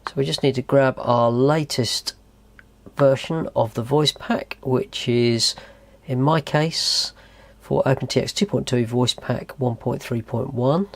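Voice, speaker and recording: A man's voice: mid-range voice, fairly even intonation, measured pace. A man presenting a how-to tutorial in a methodical, explanatory way. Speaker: host of a tutorial channel.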